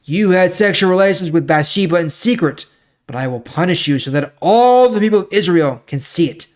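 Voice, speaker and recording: A man voicing a stern prophet who delivers God's angry judgment in a forceful, accusing dramatic scripture reading. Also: sits high, lively intonation, a little fast, pitched above the performer's own voice.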